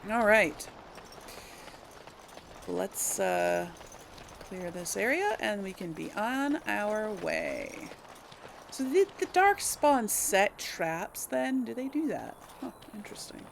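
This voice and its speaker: A woman's voice: medium pitch, wildly swooping pitch, slow, gravelly, a little hiss or hum. A woman giving casual, hesitant let's play commentary, thinking aloud to viewers as she plays. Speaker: host of a gaming let's play.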